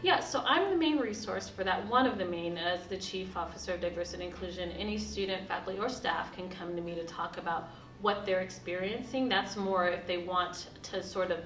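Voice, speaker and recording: Woman, university admin, lower voice, measured interview answers, roomy distant sound. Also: music in the background. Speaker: university diversity officer. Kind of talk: documentary interview.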